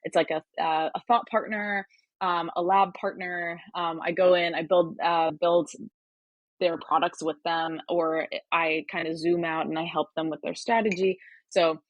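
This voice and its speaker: Guest: young woman, American accent, lowish voice, rambling casual delivery, dry close sound. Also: fairly even intonation, measured pace, dry recording, dull audio. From Turkey. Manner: chatty, many fillers and restarts. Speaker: podcast guest, hardware startup advisor. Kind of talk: casual interview conversation.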